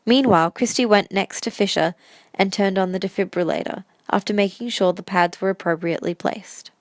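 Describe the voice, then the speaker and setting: A woman's voice: medium pitch, a little fast, no room sound. A woman reading scripted story narration for an audio drama.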